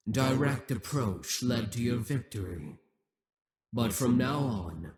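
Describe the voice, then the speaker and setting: A possessive demon king, played by a man, taunts his captive in a slow, drawn-out delivery. His voice sits at an ordinary middle pitch and turns mocking and menacing by turns. It is a scripted audio roleplay.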